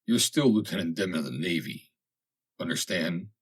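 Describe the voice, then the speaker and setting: Big sailor machinist , done by a male reader: low, husky, gruff, cool-headed and firm. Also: animated, normal speed, heavily acted. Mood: blunt. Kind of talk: dramatic audiobook character voice.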